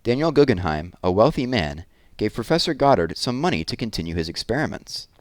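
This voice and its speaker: A man with a low voice reads a true story aloud for children. He narrates it as the host, and the sound is dry with very little room. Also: very animated, brisk, no room sound.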